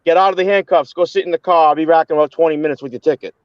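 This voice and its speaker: A man's voice: fairly high pitch, very fast, no room sound, dull audio. A man on a live online panel who talks bluntly and with heat, often stumbling and repeating words. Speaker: panel guest. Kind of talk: heated casual live-stream chat.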